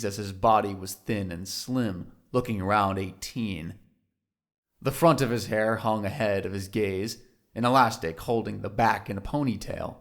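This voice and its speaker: A man narrating a scripted audio drama in a literary storytelling style. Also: fairly low pitch.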